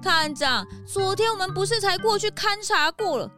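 A woman's voice: very high voice, lively intonation, moderate pace, music in the background. A woman voicing a cheeky, bouncy young detective's assistant in a children's audio drama, with a childlike, whiny edge. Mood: grumbling.

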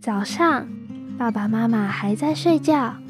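A woman's voice: on the high side, lively intonation, a little slow, over loud music. A young woman reading scripted narration for a children's radio drama. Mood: narrating. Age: young adult. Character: storyteller of a children's family tale.

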